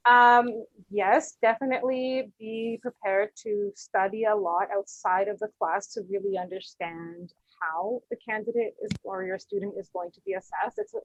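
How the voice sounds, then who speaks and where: A woman from Vancouver with a Canadian accent, a guest English teacher explaining things in a relaxed conversational way with fillers. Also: medium pitch, moderate pace, few pauses, no echo, dull audio. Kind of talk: casual podcast interview.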